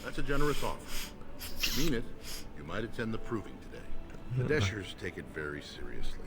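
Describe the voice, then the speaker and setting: Casting: proud dwarven lord's deputy, very low pitched, courtly and stern, fantasy game dialogue. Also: male voice, very animated. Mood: stern, diplomatic. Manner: formal, courtly, measured.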